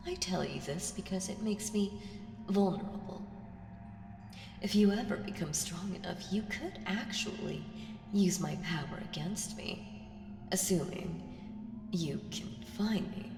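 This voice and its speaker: A woman voicing an ancient evil entity in an ASMR audio roleplay, smug, seductive and condescending, with a teasing delivery that slips into breathy whispers. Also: on the low side, a little slow, pauses often. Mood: conspiratorial, tempting. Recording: echoey hall reverb, distant, music behind.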